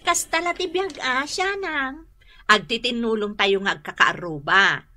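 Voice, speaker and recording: Bright, high-pitched Ilocano woman, emphatic and expressive. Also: measured pace. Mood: friendly, practical. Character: brisk, firm married woman running a laundry shop. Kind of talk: Ilocano radio drama acting.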